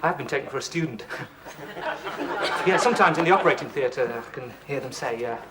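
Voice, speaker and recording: Young man faking a doctor to woo, stammers, male actor, lively pitch, off-mic, hissy. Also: brisk, recorded from a distance, over a noisy crowd, lightly acted. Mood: nervous. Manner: stammering, nervous, hushed. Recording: hissy old TV sitcom, voice a bit off-mic.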